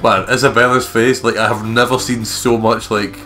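A man's voice: medium pitch, level tone, normal speed, bright, forceful tone. A man reading visual novel narration as a let's play host, with casual, jokey asides. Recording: music playing behind the voice.

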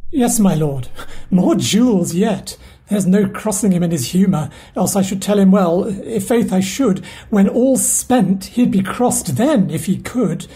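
A woman performs a loyal steward fretting with quiet exasperation in a Shakespeare audio play. Her voice is mid-pitched and mellow. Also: expressive, measured pace, lightly acted. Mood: worried aside.

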